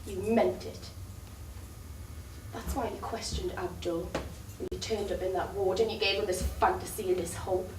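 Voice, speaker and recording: Actress as a bitter jealous lover, distant and echoey. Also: female voice, mid-range voice, steady tone, normal speed, natural voice. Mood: bitter, pressing. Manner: probing, accusatory, rhetorical. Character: bitter, jealous live-in lover of an addict, confrontational. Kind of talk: theatrical stage play acting. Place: theatre stage, recorded from far off. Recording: distant echoey stage recording, faint noise.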